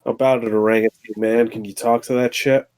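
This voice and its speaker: A man's voice: mid-range voice, level tone, brisk, darkish tone, dry recording. A man chatting as a tabletop game player in an offhand, nonchalant way.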